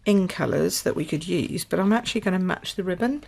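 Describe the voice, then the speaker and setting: A woman from the UK, slightly croaky, demonstrating a papercraft project at her table in a chatty, think-aloud way. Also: lowish voice, fairly quick, background noise. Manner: chatty, thinks aloud with fillers and small apologies. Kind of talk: casual craft tutorial. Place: indoors at a craft table.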